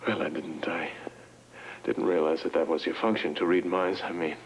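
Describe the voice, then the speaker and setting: An actor playing a polite, reserved man speaking quietly with a doctor. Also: a bass voice, little pitch movement, moderate pace, a little hiss or hum. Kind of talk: 1980s TV soap acting.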